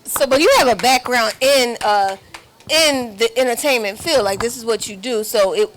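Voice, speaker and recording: A woman hosting a casual interview, playful and quick to banter with and affirm her guests. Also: a little high, fairly bright tone, a little hiss or hum. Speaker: interview host.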